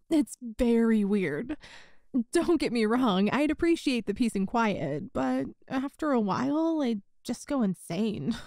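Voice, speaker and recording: A friend's older sister, acted by a woman in a roleplay audio, talks in a fairly high voice. She is casual and chatty, with a teasing, giggly manner that covers a secret fondness. The sound is dry, with no room echo. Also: darkish tone, full character voice. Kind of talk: roleplay audio acting, one side of a conversation.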